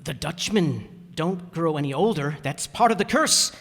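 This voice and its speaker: Man as superstitious old seafarer, high voice, rustic sailor talk, frightened, emphatic, radio play, echoey hall. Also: animated, gravelly, a bit bright, husky, heavily acted. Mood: ominous. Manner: rustic sailor dialect, emphatic drawn-out warnings. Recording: echoey hall stage recording.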